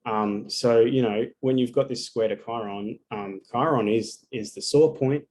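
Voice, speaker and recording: A man's voice: medium pitch, a little slow, darkish tone, slight background noise, low-quality recording. A man giving a live astrology reading over a video call, talking casually and bluntly and swearing often.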